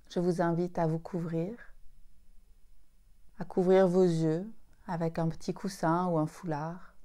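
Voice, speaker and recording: A woman guides a meditation as a yoga teacher. Her voice is mellow and slightly low, and she speaks very slowly. Short, soothing phrases are set apart by long pauses.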